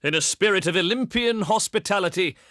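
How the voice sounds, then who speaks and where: An actor playing a proud, shrewd ancient Greek diplomat in a strategy video game, delivering formal, grandiose oratory in a pompous, declamatory manner. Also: male voice, high-pitched, fairly quick, sharp tone, no room sound, studio quality. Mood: courteous welcome.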